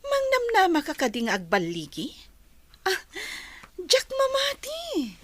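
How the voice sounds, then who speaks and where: A woman's voice: extremely high pitch, wildly swooping pitch, slow, a bit bright, full character voice. An actress playing an ambitious, scornful female office rival in a Filipino radio drama, haughty and mocking.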